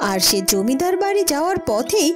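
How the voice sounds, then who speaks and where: A woman's voice: sits high, big pitch swings, a little fast, over music. A woman narrating a scripted cartoon folk tale as a storyteller.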